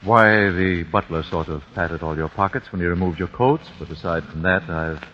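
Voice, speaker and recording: A man's voice: deep voice, normal speed, natural voice. An actor playing a grim father avenging his son, formal and icily polite, in 1940s radio acting. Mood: calm, courteous. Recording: dull lo-fi old radio recording with faint hiss.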